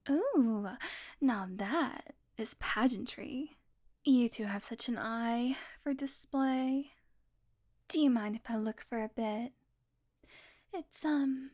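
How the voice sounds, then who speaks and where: Female vampire, played by a woman for audio roleplay: fairly high voice, predatory, smug, intimate, taunting drawl with murmured asides. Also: darkish tone. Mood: charmed, polite.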